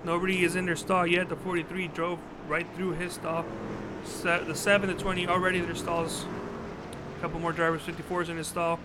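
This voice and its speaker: A man doing live play-by-play commentary on a sim truck race, often halting and correcting himself, apologizing for his stutter and hiccups. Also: high voice, level tone. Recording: loud engine noise behind.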